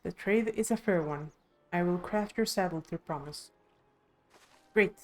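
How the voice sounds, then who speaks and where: A woman's voice: fairly low pitch, a little slow, faint music behind. A woman reading video game dialogue aloud expressively on a live stream.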